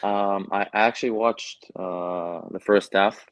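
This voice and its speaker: A man's voice: fairly low pitch, slow pace, smooth. A young man with a Canadian accent, answering interview questions casually and with many fillers. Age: young adult. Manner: relaxed, hesitant with many fillers. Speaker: guest soccer player.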